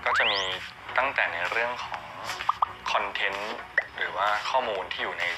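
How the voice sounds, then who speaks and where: A man's voice: fairly low pitch, moderate pace. A man answering interview questions as an expert, explaining steadily with frequent spoken fillers. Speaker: expert guest. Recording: loud music under the voice.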